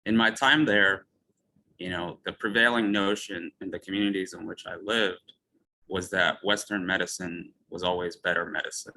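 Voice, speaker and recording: A physician from Ethiopia gives a lecture in a deep, bright and forceful voice. He talks in a measured way and leans on personal storytelling. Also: fairly even intonation, low-quality recording. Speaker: physician speaker. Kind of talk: lecture talk.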